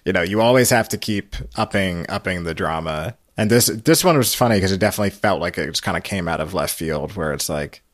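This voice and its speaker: A man's voice: low-pitched, brisk, hardly any pauses, dry recording, clean studio sound. A man hosting a recap podcast in casual, hesitant, rambling chat. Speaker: podcast host.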